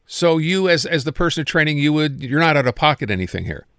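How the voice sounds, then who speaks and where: A man's voice: a little high, sing-song, fast, fairly bright tone, smooth, dry recording, studio quality. A man hosting a radio interview, asking chatty, polite, loosely phrased questions in a conversational way.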